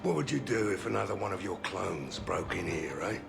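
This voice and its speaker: A man playing a gruff, suspicious mercenary in a video game, blunt and sardonic with a gravelly voice. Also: sits low, sing-song, fairly quick, music in the background, exaggerated voice. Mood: sardonic, grumbling. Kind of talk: video game character acting.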